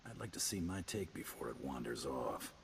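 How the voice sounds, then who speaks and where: Very deep, terse bounty hunter. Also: male voice, moderate pace, a little hiss or hum, exaggerated voice. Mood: businesslike. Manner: terse, deadpan. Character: terse, businesslike male bounty hunter, dry and guarded. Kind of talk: video game dialogue.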